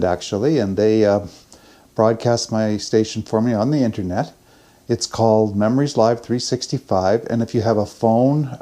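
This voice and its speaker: A man's voice: fairly low pitch, mellow. An older man chatting casually in a daily vlog, with a folksy, rambling, self-deprecating way of talking. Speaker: vlogger.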